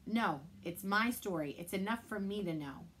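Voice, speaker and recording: Woman playing a loud, cranky old veteran, gruff and curt, for a chapter-book read-aloud. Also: medium pitch, lively intonation, normal speed, slight background noise, close to an everyday voice. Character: gruff grumpy old man, war veteran, stubborn.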